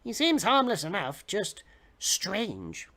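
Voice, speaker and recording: A man with a bright, forceful voice delivers a dramatic story reading. His pitch swings widely from phrase to phrase, and he treats the narration with theatrical, mock-serious weight. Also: huge pitch swings, takes it slowly. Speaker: reader of comic fiction on a livestream. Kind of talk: dramatic audiobook-style reading.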